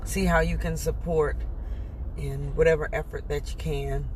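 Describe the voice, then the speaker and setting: A woman in her forties with a raspy voice, giving an earnest, encouraging devotional talk from her car, chatty and full of fillers. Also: deep voice, fairly even intonation, normal speed, a little mellow, slight background noise. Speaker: motivational worship speaker. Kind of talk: casual devotional pep talk. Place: car during a commute.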